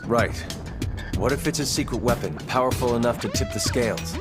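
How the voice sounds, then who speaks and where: A man's voice: mid-range voice, a little fast, natural voice. A man voicing a serious, persuasive soldier in a video game, plain-spoken, candid and emphatic. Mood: urgent, grave. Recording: loud music behind the voice.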